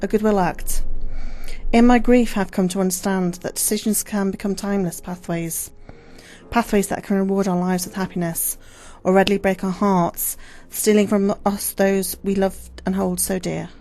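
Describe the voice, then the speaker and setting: A woman's voice: medium pitch, fairly even intonation, normal speed, warm tone, lightly acted. A woman acting a guilt-ridden, grieving mother in a solemn, formal radio play monologue. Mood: sorrowful, regretful.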